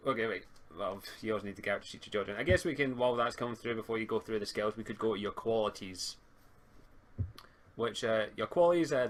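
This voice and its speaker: A man's voice: lowish voice, fairly bright tone. A man acting as game master in a casual online tabletop game, talking hesitantly and muttering as he checks things. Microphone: computer mic on an online voice call. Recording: noisy home computer mic.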